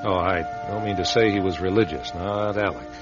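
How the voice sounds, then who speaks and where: An actor playing a wry, wistful American man who narrates a radio drama in a reflective, halting, confiding way. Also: low-pitched, lightly acted. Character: wistful, wry man narrating his charismatic friend's rise. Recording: dull radio recording with loud music.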